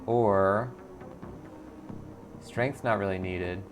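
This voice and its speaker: A man's voice: lowish voice, extremely slow, over music. A man hosting a game playthrough, moving between casual comments and storyteller-style narration of the on-screen text. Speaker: gaming series host.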